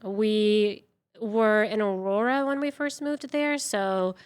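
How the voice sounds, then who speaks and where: A woman's voice: mid-range voice, steady tone, slow pace, smooth. A woman from Venezuela, a native Spanish speaker, chatting casually as a guest on an interview show, with lots of fillers and self-deprecating asides.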